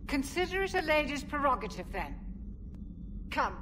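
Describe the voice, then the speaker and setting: Gracious ship's doctor. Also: female voice, high voice, big pitch swings, moderate pace, background noise. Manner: formal and gracious. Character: dignified female ship's doctor. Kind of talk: video game cutscene dialogue.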